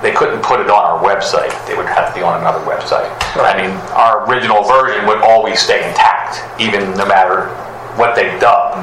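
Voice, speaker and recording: A man's voice: lowish voice, a little fast, few pauses. A man taking part in a casual board meeting, joking and laughing often as he makes a motion. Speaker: board member making a motion. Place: meeting room. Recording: echoey room, background noise.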